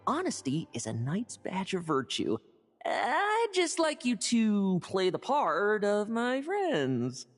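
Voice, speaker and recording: A woman's voice: mid-range voice, huge pitch swings, slow, big-room sound, soft background music, full character voice. A woman playing a cheeky, evasive young knight in a game, with a breezy, glib manner. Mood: scheming, playful. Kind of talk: game voice acting.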